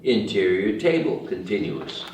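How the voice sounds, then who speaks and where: A man's voice: low-pitched, big pitch swings, measured pace, a little mellow. A man reading stage directions as the narrator of a screenplay table read. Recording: very echoey room, distant mic.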